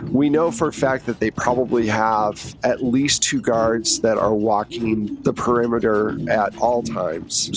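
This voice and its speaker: A man's voice: fairly low pitch, background noise, lightly acted. A man voicing a professional woman handler in a tabletop game, briefing in a matter-of-fact, conversational way.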